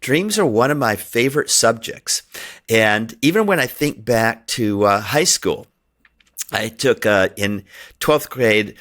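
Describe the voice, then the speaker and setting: A man's voice: medium pitch, animated, slow, fairly bright tone. A middle-aged man whose first language is Hungarian, talking in a rambling, chatty way on his own podcast and laughing often. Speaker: podcast host. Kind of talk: casual solo podcast monologue.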